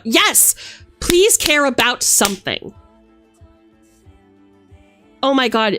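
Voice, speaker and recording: A woman's voice: mid-range voice, fairly bright tone, no echo. Emphatic, exasperated woman streamer over music. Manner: emphatic, exasperated, sweary, theatrical. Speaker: streamer and tabletop game master. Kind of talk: casual opinionated rant to stream viewers.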